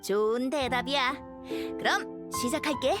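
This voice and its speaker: A woman's voice: high-pitched, unhurried. A woman voicing a carefree, playful young male bard with a boyish, lyrical delivery in an anime game. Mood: breezy. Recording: music behind the voice.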